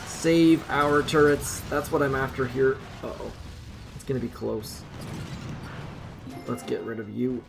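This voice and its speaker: A man's voice: medium pitch, steady tone, measured pace, vocal fry, pauses often, over music. A man, likely in his thirties, casually commenting on his own mobile gameplay at home, thinking aloud and poking fun at himself. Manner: chatty, self-deprecating, thinks aloud. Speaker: gaming channel host. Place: home room.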